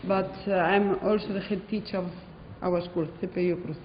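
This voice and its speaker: A woman's voice: on the low side, steady tone, normal speed, slight background noise. A woman from Spain with a Spanish accent, a head teacher answering interview questions in English hesitantly, with many fillers. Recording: roomy hall, dull lo-fi sound.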